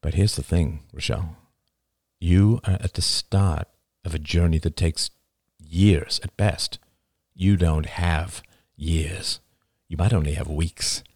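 A man's voice: sits low, fairly even intonation, a little mellow, dry recording, clean studio sound, full character voice. A man playing a grave, commanding male conference speaker with a solemn, urgent manner in an audiobook. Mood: grave, gentle. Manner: solemn, deliberate warnings, barking when roused.

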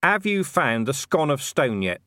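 A man's voice: medium pitch, big pitch swings, unhurried, pushed and bright, no room sound, voice pushed up. Man playing a dry, sardonic diplomat. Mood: probing. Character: dry, sardonic, stubborn male human diplomat.

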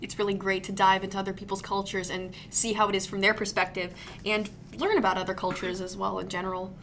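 Enthusiastic teen girl co-host, bright voice, light noise. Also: medium pitch, little pitch movement, fast talker. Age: teens. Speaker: student co-host.

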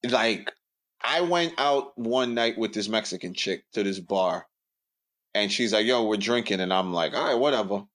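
A man's voice: fairly low pitch, expressive, normal speed, fairly bright tone. A young man, telling stories bluntly and building up jokes on a podcast. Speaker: podcast co-host. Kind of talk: casual storytelling chat.

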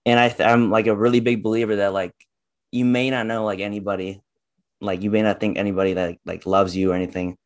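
A young man, a guest on a podcast, talking casually and haltingly with many fillers. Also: on the low side, level tone. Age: young adult. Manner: halting, lots of "like" and "uh".

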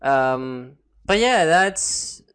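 A man's voice: fairly high pitch, expressive, extremely slow, fairly bright tone, clear, resonant tone. A man hosting a podcast, chatting casually and rambling, swearing freely and often repeating words as he goes. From Texas. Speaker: podcast host.

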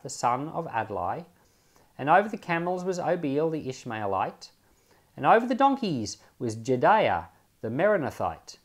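A man, a pastor, reading scripture aloud and commenting on it informally, with measured, deliberate phrasing. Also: on the high side. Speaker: pastor and Bible podcast host.

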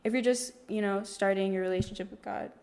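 Teen woman podcast co-host, chatty and self-correcting, mid-pitch; reverberant recording. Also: steady tone, fast talker, mellow. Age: teens. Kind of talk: casual faith chat. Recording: room with long reverb.

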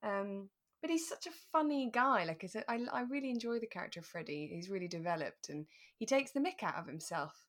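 A woman's voice: medium pitch, animated, a little fast, hardly any pauses. A British woman co-hosting a podcast in casual, chatty conversation, sometimes acting out short quotes. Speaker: podcast co-host. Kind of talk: casual chat about a radio drama.

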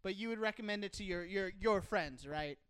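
A man's voice: sits high, a little fast, fairly bright tone, clean tone, no room sound. A man from Texas hosting a podcast in a casual, joking, playful way. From Texas, United States. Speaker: podcast host. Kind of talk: casual chat.

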